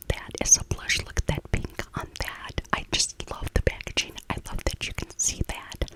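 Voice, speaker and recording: Middle-aged female, fully whispered ASMR narration, breathy, rambling and repetitive, with widely varied intonation. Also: lowish voice, rough recording. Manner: rambling, repeats phrases slowly for emphasis. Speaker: ASMR shopping vlogger. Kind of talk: whispered ASMR shopping voiceover.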